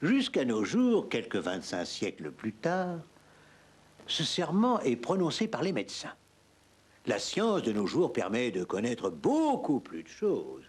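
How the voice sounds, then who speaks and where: A man reading scripted narration for an educational history cartoon, with a husky voice. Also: mid-range voice, wildly swooping pitch, measured pace. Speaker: documentary narrator.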